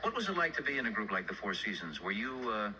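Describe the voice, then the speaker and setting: Low voiced new guy hosting a radio interview, noisy old tape. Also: big pitch swings, quick pace, bright, forceful tone. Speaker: radio host interviewing musicians. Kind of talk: radio interview and record introductions. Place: radio studio.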